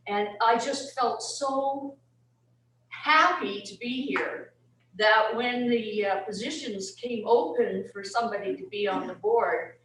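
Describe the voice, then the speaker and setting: An older woman from England speaks slowly and deliberately, telling her own story. Her voice rings in an echoey room, recorded from a distance. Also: a little high, slight background noise. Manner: unhurried, warm, laughs at herself. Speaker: retired teacher, board candidate. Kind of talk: personal speech at a meeting. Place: community centre meeting room.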